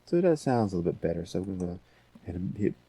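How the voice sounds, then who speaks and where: A man with a very low, dark voice hosts a casual software tutorial. He talks unscripted, thinking aloud as he works. The sound is dry, recorded close to the microphone. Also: big pitch swings, quick pace, slightly rough, dry recording. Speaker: tutorial host.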